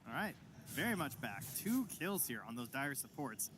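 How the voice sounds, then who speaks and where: A man's voice: medium pitch, big pitch swings, normal speed, noisy background. A man giving live analytical commentary on an esports match, explaining how the game is developing.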